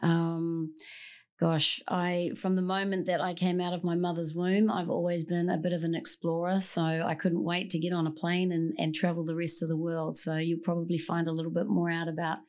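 A woman's voice: on the low side, level tone, normal speed, darkish tone. A woman with a New Zealand accent, a singer-songwriter guest telling her story in an effusive, rambling way in a podcast studio. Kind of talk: casual interview chat.

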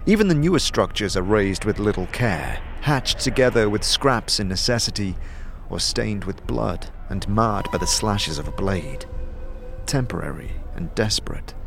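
Low-pitched man, expressively narrating dark fantasy. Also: lively intonation, normal speed, creaky, a little hiss or hum. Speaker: narrator and game storyteller. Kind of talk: atmospheric scripted fantasy narration.

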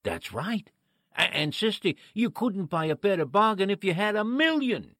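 An actor playing a shifty, pushy street peddler with slangy, wheedling talk in an old-time radio drama. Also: male voice, sits high, very animated, normal speed, a bit bright, no echo, clean studio sound, full character voice. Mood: sly, coaxing. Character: shifty male street peddler hawking cheap fur coats.

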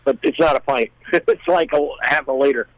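A man chatting casually as a guest, explaining things in a rambling, halting way. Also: medium pitch, fairly bright tone, no echo, rough recording. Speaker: guest, musician. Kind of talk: casual chat.

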